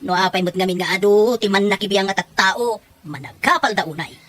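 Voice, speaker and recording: A woman playing a defiant, lovestruck middle-aged mother in an audio drama, theatrical, boastful and quick to exclaim. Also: mid-range voice, a bit bright, close to an everyday voice. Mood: defensive. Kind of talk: Ilocano audio drama dialogue.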